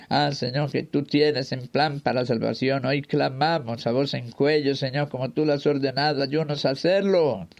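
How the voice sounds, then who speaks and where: A man's voice: fairly high pitch, darkish tone. A man leading a fervent spoken prayer, pleading in short repeated invocations. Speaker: prayer leader.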